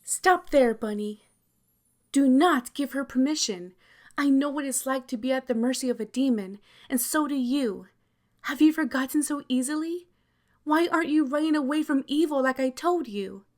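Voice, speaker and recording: A woman's voice: sits high, normal speed, lightly acted. A woman playing a stern, pious guardian angel in an ASMR roleplay, speaking in a commanding, solemn, formal way. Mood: stern, warning.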